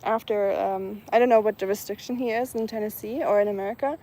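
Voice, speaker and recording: A woman from Germany with a German accent, chatting casually and softly outdoors, with many ums. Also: mid-range voice, steady tone, quick pace, rough recording. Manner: soft-spoken, chatty, many ums, laughs lightly. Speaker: vlogger. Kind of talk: casual live chat outdoors. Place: outdoors in the evening, nature sounds around.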